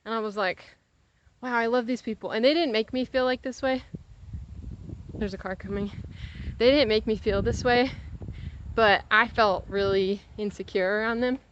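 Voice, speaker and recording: Young female vlogger, slightly high voice, candid and animated storytelling, muffled camera audio. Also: normal speed, pauses often, slight background noise. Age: young adult. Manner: animated, acts out quoted conversations. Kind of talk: casual confessional chat to camera.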